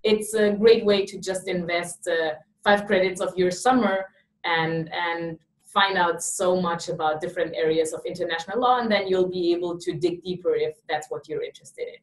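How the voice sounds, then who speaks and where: Swiss woman, low-pitched, roomy and distant. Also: steady tone, normal speed. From Switzerland. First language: not English. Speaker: study abroad program host. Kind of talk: promotional interview.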